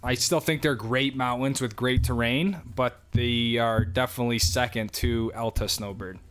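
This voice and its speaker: A young man with a New York accent, casually answering viewer questions on a livestream with a relaxed, rambling manner and frequent fillers. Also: medium pitch, moderate pace, soft background music. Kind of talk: casual live chat.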